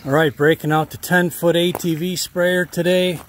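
A man's voice: a little high, flat delivery, normal speed. An American man giving casual, folksy vlog commentary in a conversational way, recorded outdoors on a farm. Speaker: farm vlogger. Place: outdoors on a farm, partly in a tractor. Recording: clear outdoor vehicle and ambient noise.

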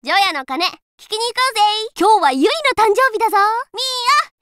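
A woman voicing an energetic, cheerful teenage tomboy schoolgirl drummer for a Japanese anime game, with boyish casual speech and punchy short phrases. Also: very high-pitched, fairly quick, full character voice. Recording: faint noise, game audio rip with clicks.

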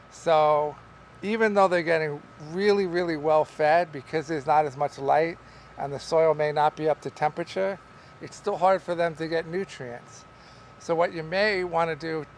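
Middle-aged male farmer, somewhat high-pitched, relaxed and rambling, in a greenhouse with faint background noise. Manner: relaxed, rambling, with many fillers and restarts. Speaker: market farmer teaching growing. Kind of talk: casual on-camera farming lesson. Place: large greenhouse among tall tomato plants. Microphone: camera at a distance, wide shot.

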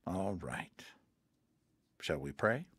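An actor playing a patient mission counselor in a radio drama, talking calmly and earnestly and quoting scripture. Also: male voice, low voice, very slow, slightly rough, no echo, clean studio sound, heavily acted. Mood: gentle. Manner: calm, earnest, quotes scripture.

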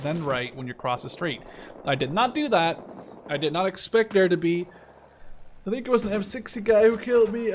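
A man's voice: sits high, slight background noise. A young man giving casual gameplay commentary, swearing often and breaking into alarmed exclamations. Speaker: gaming channel host. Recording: gunfire and explosions behind. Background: loud game gunfire and artillery.

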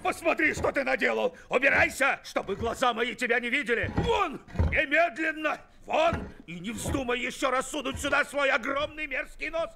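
A man playing a burly, short-tempered market trader in an animated film, blustering and indignant. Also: very high voice, moderate pace, creaky, few pauses, music in the background. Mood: furious.

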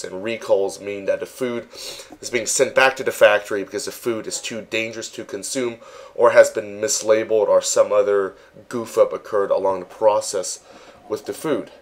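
A man narrating a casual walkthrough as a virtual world tour guide, relaxed and halting, with frequent ums. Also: lowish voice.

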